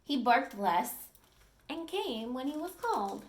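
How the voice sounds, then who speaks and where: A woman teacher reads a children's picture book aloud in an expressive storytelling style. Her voice sits a little high and moves in wide, lively swings, and she pauses to chat with her young listeners.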